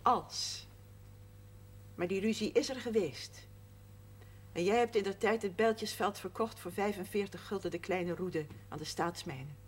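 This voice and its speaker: A woman's voice: mid-range voice, measured pace, husky. An actress playing a proud, sober widowed shopkeeper and mother in a naturalistic television drama. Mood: firm, proud. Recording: faint noise, 1970s television soundtrack.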